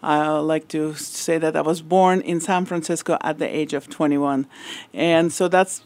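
A woman's voice: low voice, fairly even intonation, a little slow, darkish tone. A woman from the former Soviet Union, a musician guest on a radio interview, reflective and lightly laughing, with "uh"s between phrases. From Soviet Union, grew up in Kazakhstan. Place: radio studio.

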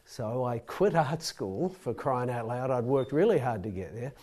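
A man's voice: medium pitch, animated, moderate pace, darkish tone. A middle-aged man with a New Zealand accent telling a rambling, self-deprecating personal story to a live audience.

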